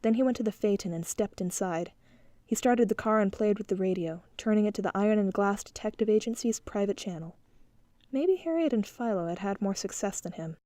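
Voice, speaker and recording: Woman narrator for a noir audiobook, mid pitch, steady reading, dry booth sound. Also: dry recording. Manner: even, measured storytelling. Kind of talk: scripted narration.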